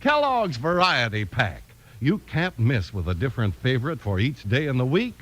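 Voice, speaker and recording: A man's voice: mid-range voice, very animated, normal speed, fairly bright tone. A man working as a 1950s radio announcer, reading scripted copy with a polished, emphatic salesman's delivery. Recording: old radio transcription. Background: music.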